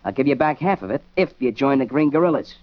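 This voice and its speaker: A man's voice: mid-range voice, animated, fast, no room sound, lightly acted. An actor playing a cocky teenage boy who leads a street gang, talking tough slang in 1940s radio style. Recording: dull 1940s radio recording.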